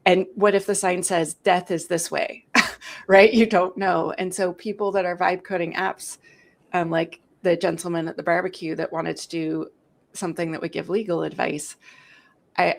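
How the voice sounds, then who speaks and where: A woman in her forties hosting a security live stream, explaining at length in a talkative, animated storytelling way. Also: lowish voice, vocal fry. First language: English. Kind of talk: casual explanatory chat.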